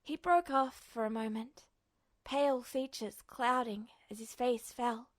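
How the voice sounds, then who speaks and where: High-voiced woman narrating dramatic fiction. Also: steady tone, unhurried. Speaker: fan fiction podfic reader.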